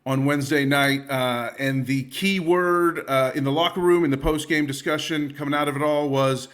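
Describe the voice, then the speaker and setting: A man hosts a soccer podcast. He talks loosely and jokes a lot, with frequent pauses to think. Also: on the high side, steady tone, a bit bright. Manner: casual, lots of uh fillers, jokey. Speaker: podcast host. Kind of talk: casual podcast hosting.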